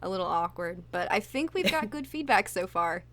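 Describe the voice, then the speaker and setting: A woman's voice: on the low side, expressive, a little fast, a loud crowd. A woman chatting casually as an actress being interviewed as a guest.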